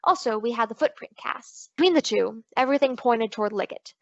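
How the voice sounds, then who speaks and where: A woman's voice: fairly high pitch, a little fast, no room sound, lo-fi sound, lightly acted. An actress playing a confident, playful woman private detective in an old-time radio drama. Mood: matter-of-fact.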